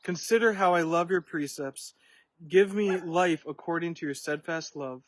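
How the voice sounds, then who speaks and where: Higher-voiced man reading scripture. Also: moderate pace, dry recording. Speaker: Bible reader.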